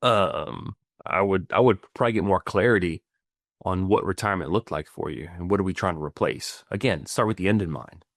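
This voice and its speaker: Low-voiced man explaining casually. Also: fairly quick, no echo, clean studio sound. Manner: stumbling restarts, frequent tag questions. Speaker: podcast co-host, financial planner.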